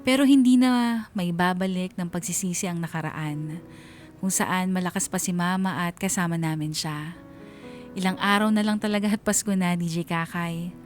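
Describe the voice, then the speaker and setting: A woman's voice: lowish voice, normal speed, faint music behind. A woman giving scripted, first-person narration for a radio drama in a sorrowful, reflective manner. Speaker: radio drama narrator reading a listener's letter.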